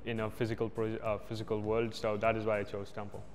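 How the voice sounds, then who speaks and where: A man's voice: on the low side, completely flat delivery, fast talker, a little mellow, in a large hall, faint music behind. A young man from India, a senior student, answering a campus interview in an earnest, reflective way. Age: young adult. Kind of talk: outdoor vox pop interview. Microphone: lapel.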